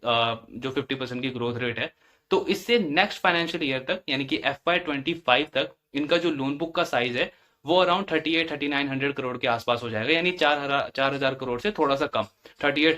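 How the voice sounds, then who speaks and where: A man's voice: medium pitch, fairly quick, pushed and bright. A man giving explanatory commentary as a financial analyst, going step by step and repeating phrases for emphasis. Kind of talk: explanatory earnings-call commentary.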